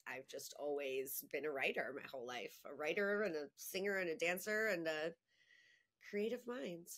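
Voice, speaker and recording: A woman in her thirties, a podcast guest chatting in a lively way with frequent laughs and filler words. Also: low voice, moderate pace. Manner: chatty and animated, laughs easily, many "like" fillers. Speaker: guest, copywriting agency owner. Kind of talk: casual podcast conversation.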